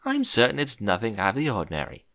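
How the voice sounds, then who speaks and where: A man's voice: on the low side, wildly swooping pitch, fast, vocal fry, fairly bright tone, exaggerated voice. A man voicing a refined, dramatic female unicorn with affected, genteel manners in a storybook reading. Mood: concerned, coaxing. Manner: affected, genteel, uses endearments.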